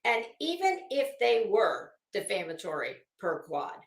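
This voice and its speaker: An American woman hosting a commentary show, reading documents aloud dramatically and adding casual, emphatic asides with drawn-out phrasing. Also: mid-range voice, huge pitch swings, roomy sound.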